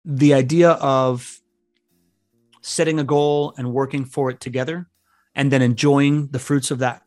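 A man's voice: medium pitch, steady tone, measured pace, darkish tone, no room sound, studio quality, faint music behind. A man in his thirties, a podcast guest, chatting casually and telling rambling stories with many restarts. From Venezuelan family, grew up outside Venezuela. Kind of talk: casual interview chat.

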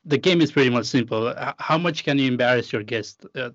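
A man's voice: mid-range voice, fairly quick, no echo. A male co-host banters and jokes in a casual podcast chat. His voice sounds dry and close. The recording is dull and lo-fi. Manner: joking, teasing.

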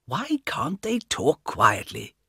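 Man voicing a mild, quiet man in his fifties, slow musing thoughts, storybook. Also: fairly high pitch, wildly swooping pitch, vocal fry, breathy edge, no echo, studio quality, voice pushed up, exaggerated voice. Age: 50s. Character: quiet, mild middle-aged man thinking.

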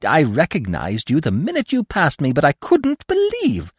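A man voicing an excitable, gossipy little male squirrel with a shrill, eager voice. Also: moderate pace, husky, dry recording, clean studio sound, exaggerated voice. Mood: delighted. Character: excitable chattering male squirrel, small and nosy.

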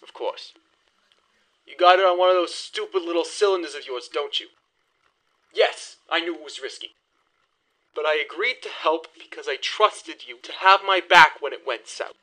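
An actor playing a bitter, hot-tempered 1920s bootlegger in an audio horror drama, sarcastic and accusing. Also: male voice, a little high, normal speed, bright, forceful tone, rough recording.

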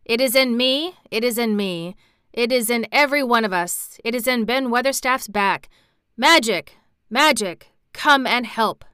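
A woman voicing an earnest, solemn young boy who speaks gravely and properly, in a storybook reading. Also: mid-range voice, normal speed, hardly any pauses, bright, forceful tone, dry recording, studio quality, lightly acted. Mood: chanting.

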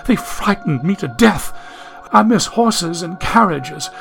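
A man's voice: high-pitched, expressive, takes it slowly, vocal fry, fairly bright tone, faint music behind, heavily acted. A man reading an audiobook, voicing a genteel young woman from the 1870s who is anxious, prim and lost in the modern world. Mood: distressed. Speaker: audiobook narrator.

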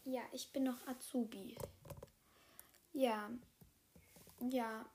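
A woman playing a sick young female apprentice, hoarse and speaking quietly and hesitantly, half in a whisper. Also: a little high, steady tone, very slow, a little hiss or hum. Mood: sheepish.

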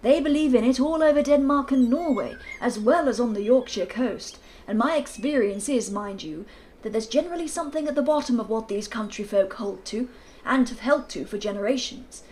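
A woman's voice: fairly high pitch, animated, fairly quick, darkish tone, close to an everyday voice. A woman voicing a hearty, bluff older male colonel who talks emphatically.

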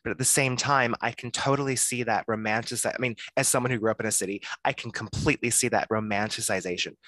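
A man's voice: fairly low pitch, pushed and bright, no room sound. A man hosting a casual chat, friendly and rambling, tripping over his words now and then. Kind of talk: casual conversation.

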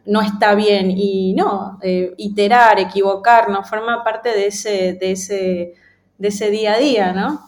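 A woman's voice: mid-range voice, steady tone, unhurried. Woman, slang, candid interview guest, mid-range voice, roomy echo. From Ecuador. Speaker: interview guest, design professional. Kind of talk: casual conversational interview. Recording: roomy, echoing sound.

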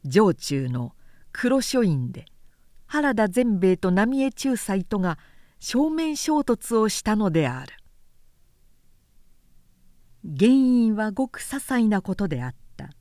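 Female audiobook narrator, measured and articulate, very dry studio sound. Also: mid-range voice, sing-song, mellow, no echo. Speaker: narrator reading literature aloud. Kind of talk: scripted literary narration, audiobook reading.

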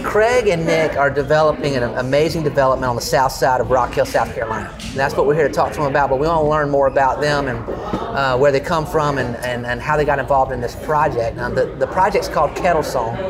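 A man hosting a casual interview podcast in a brewery, talking in a relaxed way with many fillers and restarts. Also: medium pitch, brisk, a crowd in the background. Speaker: podcast host. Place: local brewery.

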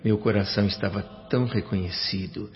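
A man's voice: fairly low pitch, steady tone, a little fast, lightly acted. An actor playing a grateful, wonder-struck Brazilian doctor, earnestly narrating his afterlife in a radio drama. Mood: awed, grateful. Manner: reflective, earnest. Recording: muffled radio drama with music.

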